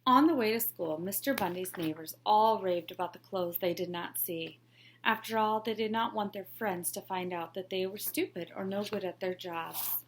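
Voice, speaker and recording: A teacher reading a picture book aloud. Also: female voice, mid-range voice, moderate pace, slight background noise, low-quality recording. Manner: clear, expressive storytelling. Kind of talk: children's read-along narration.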